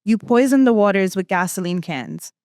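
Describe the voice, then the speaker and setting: A woman's voice: mid-range voice, normal speed, darkish tone, no room sound, clean studio sound. A young woman, a student poet, delivering spoken-word poetry in an emphatic, accusatory manner. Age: young adult.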